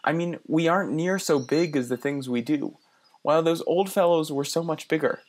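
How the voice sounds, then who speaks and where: A man's voice: on the high side, natural voice. An actor playing an earnest young man from the city who muses aloud and stammers a little when he is unsure. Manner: earnest, halting with small stammers. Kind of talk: radio play acting.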